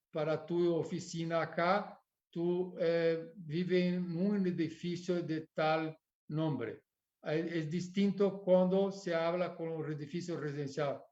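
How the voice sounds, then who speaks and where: Man, portuguese accent, fairly high voice, halting lecture, muffled lo-fi sound. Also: a little mellow. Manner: halting, searches for words, frequent tag questions. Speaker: architecture lecturer. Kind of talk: academic lecture. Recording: dull lo-fi recording.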